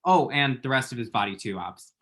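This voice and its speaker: A man's voice: mid-range voice, expressive, brisk, a bit bright, close to an everyday voice. Man acting a chatty, flippant lovesick young guy. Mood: playful. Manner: chatty, dry, self-deprecating, pop-culture asides. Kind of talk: screenplay table read.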